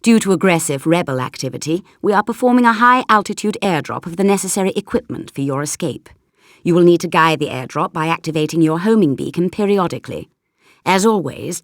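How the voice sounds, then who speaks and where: A woman's voice: fairly low pitch, animated, fairly quick, dry recording, studio quality. A woman playing a stern, formal spy agency chief in a video game briefing. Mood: formal, grave.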